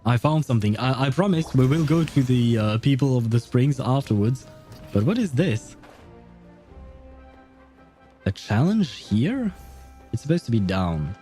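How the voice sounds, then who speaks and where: Brief: young guy streaming a game, mellow dark tone, loose chatty commentary with laughs, faint music behind. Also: medium pitch, lively intonation, normal speed. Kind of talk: casual gameplay commentary.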